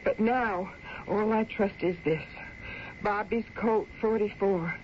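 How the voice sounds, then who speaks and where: A woman's voice: mid-range voice, slow, lightly acted. An actress playing a determined, distrustful armed woman of the Old West in a radio drama, speaking in an emphatic, dramatic way. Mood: wary, intense. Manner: emphatic, dramatic, repeats words for effect. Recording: muffled, noisy old radio drama recording.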